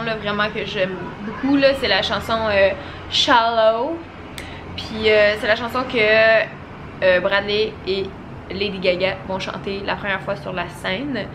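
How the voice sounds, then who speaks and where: A young woman with a Québécois French accent, chatting casually to camera as a vlogger and giving a relaxed film review. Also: mid-range voice, noisy background. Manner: chatty and animated, full of casual fillers.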